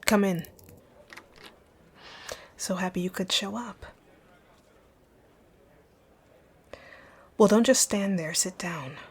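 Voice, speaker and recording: A woman playing a rich, dominant boss in an ASMR roleplay, speaking in a curt, commanding way with a soft, breathy, husky voice. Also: mid-range voice, measured pace, slight background noise, natural voice. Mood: cold, bossy. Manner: curt, commanding, breathy, often near a whisper. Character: rich, rude, dominant female boss, stern but secretly caring. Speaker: audio roleplay voice actor.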